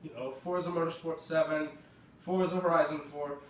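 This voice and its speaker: American guy a bit sick, rambly game commentary, kinda high voice, off-mic in an echoey room, faint music. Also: steady tone, normal speed, a bit bright, far from the mic, a little hiss or hum. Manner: chatty, rambling, corrects himself. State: slightly sick. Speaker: gaming video creator. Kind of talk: casual gameplay commentary.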